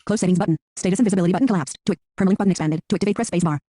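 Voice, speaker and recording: Synthetic screen reader: robotic, flat announcements at breakneck speed, completely dry sound. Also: mid-range voice, very fast, no echo. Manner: flat robotic reading of interface labels.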